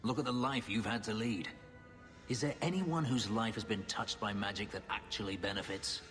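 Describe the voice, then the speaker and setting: A man plays a brooding elven warrior who was once a slave. His low voice is grave and measured, guarded in every phrase. It rings in a long reverb with faint music underneath, like a game cutscene. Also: close to an everyday voice. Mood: bitter, brooding. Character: brooding elven former slave warrior, bitter yet loyal. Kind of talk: dramatic video game acting.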